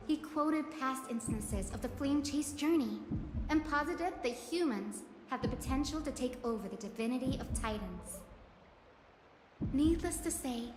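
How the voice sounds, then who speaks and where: An actress voicing a young woman companion in a video game, recounting events in an articulate, measured storytelling way. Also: sits high, background noise. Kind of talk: scripted game dialogue, storytelling narration. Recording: echoey reverb with music behind.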